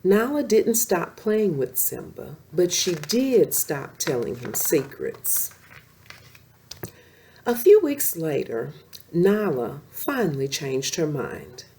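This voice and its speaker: Female children's storyteller: lowish, mellow voice, very animated melody, expressive read-aloud delivery. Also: slow, a little hiss or hum.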